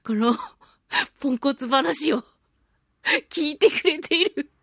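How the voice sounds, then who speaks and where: A woman hosting a solo radio talk show in a casual, playful and theatrical way, giggly and swooping between exclamations and low asides, close on a studio microphone. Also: slow, fairly bright tone, no echo. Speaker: radio show host, voice actor.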